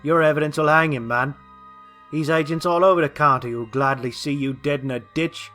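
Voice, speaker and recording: Man playing a forceful, commanding captain. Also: fairly high pitch, moderate pace, soft background music, pitched above the performer's own voice, lightly acted. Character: gruff, commanding army captain escorting a witness. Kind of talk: storybook character dialogue.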